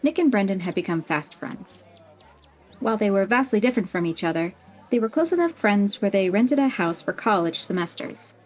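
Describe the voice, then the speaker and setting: A woman narrating a fantasy audio story in an expressive, even-paced reading, over soft music. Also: mid-range voice, normal speed.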